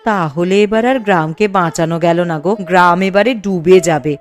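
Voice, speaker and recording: A woman's voice: lowish voice, fairly quick, faint music behind, lightly acted. A woman voicing a worried young village wife in a cartoon, anxious and fretting. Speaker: cartoon character.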